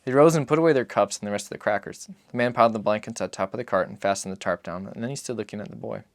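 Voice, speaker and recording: A man giving a dramatic reading of literary fiction, solemn and measured in his phrasing. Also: on the low side, dry recording. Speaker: audiobook narrator.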